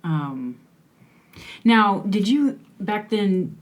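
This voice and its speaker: A woman's voice: mid-range voice, animated, drawn-out words. A young woman hosting an interview, chatty and affirming, with frequent back-channel responses. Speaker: interview host. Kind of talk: casual interview conversation.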